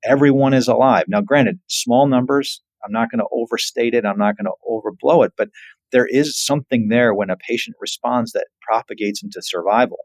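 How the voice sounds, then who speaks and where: A man speaking as a physician researcher in an interview, earnest and emphatic while explaining findings in measured phrases. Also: medium pitch, no room sound, poor audio. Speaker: physician researcher, podcast guest.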